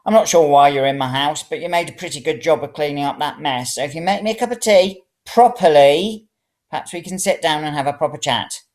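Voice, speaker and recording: A storyteller voicing a cantankerous, bossy old woman who scolds and shouts melodramatically in a story reading. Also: medium pitch, a little fast, voice pushed up, close to an everyday voice. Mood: curt, grudging. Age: elderly.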